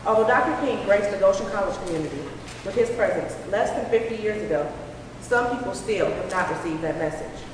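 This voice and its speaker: A woman's voice: mid-range voice, animated, fairly quick. A woman reading a formal prepared speech to a convocation. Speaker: presenter at a convocation. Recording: very echoey, noisy hall from far off.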